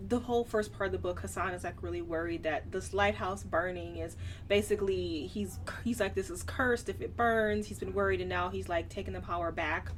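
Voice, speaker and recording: A woman's voice: fairly low pitch, fairly quick. A woman co-hosting a book podcast, chatting informally about books with conversational asides. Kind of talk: casual book discussion. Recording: slightly distant mic, faint noise.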